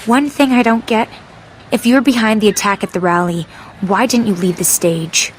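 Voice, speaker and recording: A woman's voice: medium pitch, normal speed, a little hiss or hum, close to an everyday voice. An actress playing a determined young female mutant heroine, speaking in a defiant, urgent way in a video game cutscene. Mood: confrontational.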